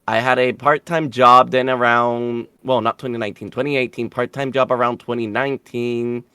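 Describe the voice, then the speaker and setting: A man's voice: mid-range voice, steady tone, moderate pace, bright, forceful tone, smooth, dry recording, clean studio sound. A young man from Puerto Rico talking casually as an interview guest, rambling and self-deprecating, with the odd swear word. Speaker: streamer guest.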